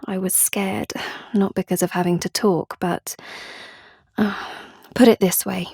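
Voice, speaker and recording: An anxious, clever young British woman confides in the listener in an audio drama monologue. Her voice sits at a middle pitch and is recorded very dry and close. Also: steady tone, measured pace, creaky, warm tone, husky, no room sound. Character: anxious, guilt-ridden, clever young woman data analyst.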